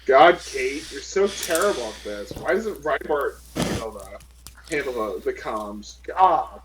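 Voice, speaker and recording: A man's voice: medium pitch, expressive, measured pace, fairly bright tone. A man playing a tabletop role-playing game casually in character, on a noisy recording.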